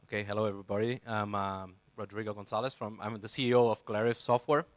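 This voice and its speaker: A man in his forties introduces himself on a conference panel. His voice sits low and barely moves in pitch. He speaks haltingly into a handheld microphone. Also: fairly even intonation. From Brazil. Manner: hesitant, many fillers and restarts. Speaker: panelist, software company CEO. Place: conference stage.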